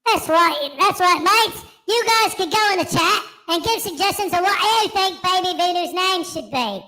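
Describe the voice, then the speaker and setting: Man doing a high-voiced Australian kangaroo puppet. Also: moderate pace, pushed and bright, exaggerated voice. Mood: upbeat, matey. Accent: put-on Australian. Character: friendly male kangaroo puppet, laid-back Australian mate. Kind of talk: puppet character on a live stream.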